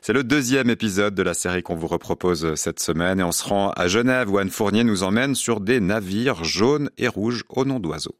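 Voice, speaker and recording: A radio host, introducing segments in smooth, scripted presenting. The studio sound is dry and close. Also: male voice, on the low side, lively intonation, moderate pace, hardly any pauses, no echo. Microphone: studio microphone.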